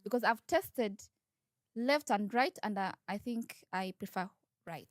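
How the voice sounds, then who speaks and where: A woman's voice: mid-range voice, expressive, measured pace, no room sound, clean studio sound. A woman with a Ugandan accent giving a candid informal interview, explaining and repeating her points.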